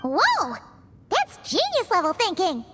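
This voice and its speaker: A tiny fairy sidekick chatters in an extremely high, squeaky, childlike voice. The delivery is bubbly, bossy and bouncing in pitch, like animated game dialogue, over loud music. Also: female voice, slow, exaggerated voice. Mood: excited. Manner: exclamatory, chatty, refers to herself by name.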